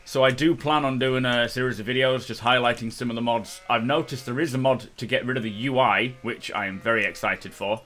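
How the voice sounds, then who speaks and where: A man's voice: medium pitch, steady tone, brisk, hardly any pauses, fairly bright tone. A man giving casual playthrough commentary as host of a gaming video, sounding slightly tipsy. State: slightly drunk, self-reported.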